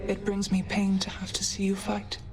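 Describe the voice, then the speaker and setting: A woman playing a calm, regal mother goddess in a video game, formal, measured and sorrowful. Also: medium pitch, soft background music, natural voice. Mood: grave, sorrowful.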